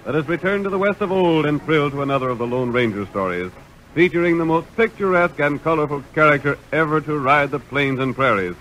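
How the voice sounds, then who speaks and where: Old time radio announcer guy, american, dramatic cowboy show narration, slightly high voice, vintage recording. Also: expressive, fairly quick, fairly bright tone, slight background noise, poor audio. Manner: dramatic, stately. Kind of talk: 1930s-40s radio western narration.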